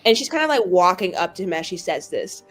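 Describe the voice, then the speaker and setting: A woman's voice: fairly low pitch, very animated, fast talker, soft background music. A woman chatting casually as a player in a tabletop roleplaying game.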